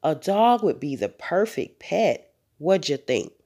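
A woman's voice: sits low, very animated, slow, mellow, full character voice. A woman voicing an eager, scheming little girl who coaxes persuasively in a children's storybook. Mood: eager, coaxing. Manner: coaxing, listing persuasively.

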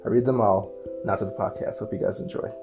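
A man hosting a business podcast, giving a lively promotional intro and asking his guest questions. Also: lowish voice, quick pace, vocal fry, loud music behind the voice. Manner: upbeat, promotional delivery. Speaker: podcast host. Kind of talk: casual podcast intro and interview.

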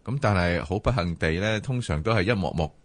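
A man's voice: low-pitched, lively intonation, brisk, no room sound. A man with a Hong Kong Cantonese accent co-hosting a casual late-night radio discussion, talking colloquially with frequent exclamations. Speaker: radio talk show co-host.

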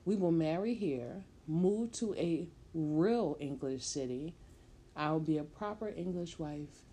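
A woman's voice: fairly low pitch, a little fast, mellow. A woman voicing a young pregnant woman who speaks in a pleading, emotional way. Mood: eager.

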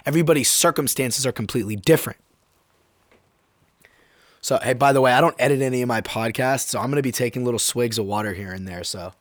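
Hoarse laid-back young man podcasting. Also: mid-range voice, fast, no room sound. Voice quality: slightly hoarse. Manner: laid-back, slangy, rambling with fillers. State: sore throat, getting over being sick. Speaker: podcast host. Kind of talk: casual solo podcast talk.

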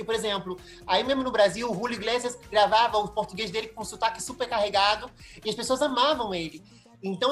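A Brazilian woman in her thirties, a singer-songwriter, talking expressively in a casual interview. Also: mid-range voice. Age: 30s.

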